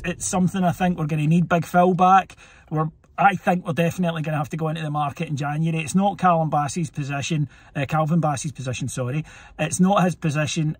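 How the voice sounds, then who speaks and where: A man in his thirties talks through a football result in a chatty, plain-spoken way, his voice sitting a touch high. He records on a phone held close inside a parked car, with a faint hiss behind him. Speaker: podcast host. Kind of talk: post-match football reaction vlog. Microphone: phone camera held close in the car.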